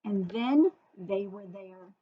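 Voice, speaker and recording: A woman reading a children's story expressively and deliberately, pausing to ask listeners questions. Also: mid-range voice, expressive, slightly rough. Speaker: storybook reader. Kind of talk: children's read-aloud with asides to listeners. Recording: phone-like, muffled thin audio.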